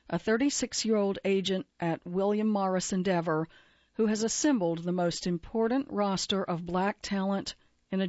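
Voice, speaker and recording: A woman reads a magazine feature aloud in an even, measured way, at a mid pitch for a woman. The recording is dry, with no room around her voice. The sound is slightly dull and muffled. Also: no echo. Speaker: article narrator. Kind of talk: scripted narration of a magazine feature.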